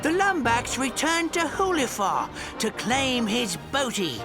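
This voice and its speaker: Timid robot pirate sidekick telling a tale, high voice, swoopy and slow, music behind. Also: male voice, sing-song, exaggerated voice. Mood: storytelling. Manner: storyteller, nervous and eager to please. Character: meek robot pirate first mate narrating a tale. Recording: game audio with music behind.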